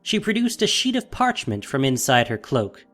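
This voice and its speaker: A man's voice: mid-range voice, wildly swooping pitch, normal speed, fairly bright tone, faint music behind. A man narrating a fantasy audio drama in a dramatic, scripted storytelling style.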